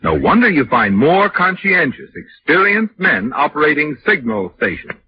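Casting: male vintage radio announcer, persuasive and polished, lively intonation, muffled recording. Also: a little high, normal speed, a little hiss or hum. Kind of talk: 1940s radio sponsor announcement.

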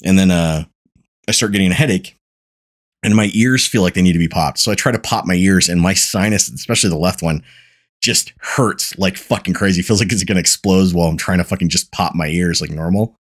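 A man's voice: sits low, brisk. A man hosting a casual podcast, congested and starting to lose his voice, rambling and swearing freely. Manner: rambling, profane, laid-back. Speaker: podcast host.

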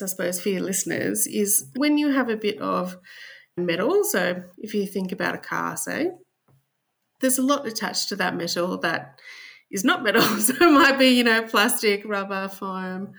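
Australian woman guest, gravelly, explains steadily with light laughs, podcast interview. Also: mid-range voice, animated, moderate pace, fairly bright tone. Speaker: sustainability director, guest.

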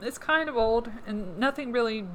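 A woman's voice: fairly high pitch, lively intonation, normal speed, a little hiss or hum. A woman hosting a podcast, reading news articles aloud and adding sarcastic asides, her voice worn down and fading. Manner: sarcastic asides between read passages. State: losing her voice. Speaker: podcast host.

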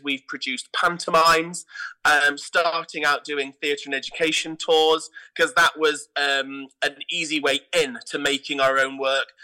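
Very bright, high-voiced middle-aged man. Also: poor audio. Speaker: theatre artistic director, podcast guest. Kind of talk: casual interview anecdotes.

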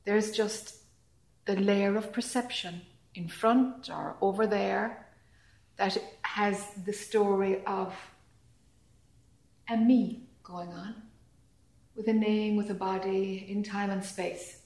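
A woman leading a guided meditation calmly and gently, in short phrases with long pauses between them. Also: mid-range voice. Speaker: meditation teacher. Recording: echoey room.

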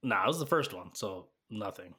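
A young man hosting a casual sports podcast, easygoing and quick to laugh, stumbling over his words now and then. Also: lowish voice, animated, pushed and bright, husky. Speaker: podcast host.

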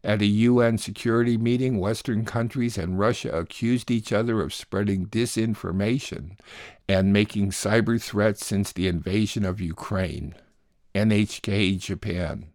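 A man's voice: on the low side, fairly even intonation, unhurried, darkish tone, no echo, studio quality. A man hosting a radio program, reading scripted announcements and news summaries. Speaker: radio show host and producer.

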